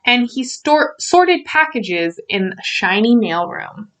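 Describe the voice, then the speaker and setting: A woman reading a children's storybook aloud in an expressive way, stretching out key words for emphasis. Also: a little high, slow.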